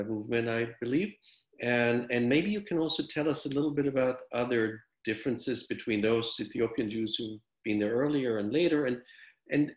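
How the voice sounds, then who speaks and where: A man moderating a panel discussion, hesitant and full of fillers as he hands the floor to a guest. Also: medium pitch. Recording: roomy echo.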